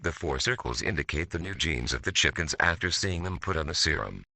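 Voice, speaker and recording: A man's voice: very deep voice, expressive, brisk, gravelly, pushed and bright, no room sound, full character voice. A man voicing a detached military scientist, reading a formal, stilted analog horror narration. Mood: clinical, detached. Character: male military scientist coldly recounting his mutant experiments.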